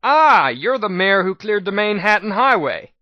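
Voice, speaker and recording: A man voicing a shrewd, cheerful female pony shop manager in a dramatic audiobook reading, bright and businesslike. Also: high-pitched, sing-song, normal speed, dry recording, muffled recording, voice pushed up. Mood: brisk, pleased.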